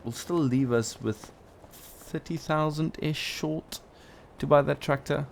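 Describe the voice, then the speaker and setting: A man's voice: on the high side, takes it slowly, vocal fry, a little hiss or hum. A man who is not a native English speaker gives casual gameplay commentary as a let's play host, thinking aloud with playful asides.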